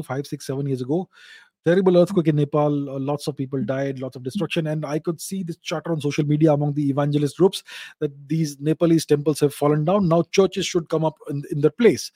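A man's voice: fairly high pitch, warm tone, no room sound. A man with an Indian accent hosting a question-and-answer show, giving unscripted explanations and repeating key phrases for emphasis.

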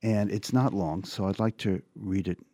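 A man's voice: on the low side, fairly even intonation, moderate pace, dark tone. A man hosting a radio talk show, asking earnest, emphatic questions into a studio microphone. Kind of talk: radio interview.

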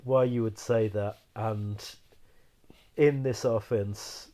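A man hosting a casual sports podcast, hoarse from a cold and stammering a little as he restarts sentences. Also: on the low side, fairly even intonation, slow, warm tone. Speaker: podcast host.

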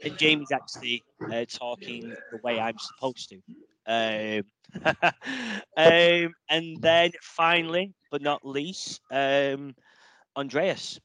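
A man's voice: medium pitch, slow, sharp tone, no room sound, poor audio. Upbeat male host, dry sound. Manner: upbeat, many fillers. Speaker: podcast host. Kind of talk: hosting a panel podcast.

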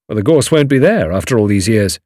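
A man's voice: fairly low pitch, lively intonation, brisk, darkish tone, no echo, clean studio sound, lightly acted. A man voicing an uneasy but eager young British adventurer, clipped and understated, in an audiobook story. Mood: impatient, restrained.

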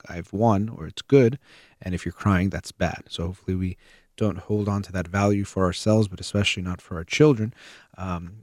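Low-voiced man, calm and measured. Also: dry recording, clean studio sound. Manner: measured, thoughtful, with fillers and pauses. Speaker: host. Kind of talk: reflective solo talk on parenting.

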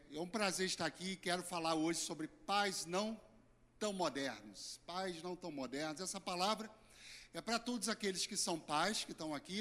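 Hoarse Brazilian pastor in reverberant hall. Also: male voice, a little high. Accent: Brazilian Portuguese. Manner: earnest, emphatic preaching with sudden loud and soft swings. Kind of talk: sermon.

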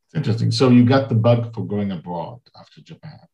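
Mellow-voiced male interviewer, echoey lo-fi audio. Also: lowish voice, expressive, vocal fry. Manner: halting questions with brief acknowledgements. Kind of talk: casual interview conversation. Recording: muffled lo-fi audio in an echoey room.

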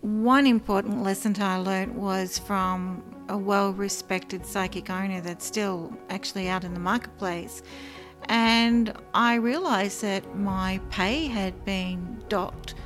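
A woman's voice: medium pitch, steady tone, faint music behind. Earnest woman recalling her work. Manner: earnest, measured, with frequent ums. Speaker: former psychic line worker, guest. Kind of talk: interview, personal recollection.

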